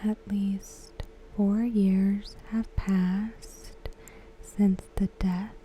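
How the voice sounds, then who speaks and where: A woman reads aloud softly in an ASMR style, in a hushed, breathy whisper with short phrases and long pauses. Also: medium pitch, level tone, slight background noise, rough recording. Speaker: ASMR host.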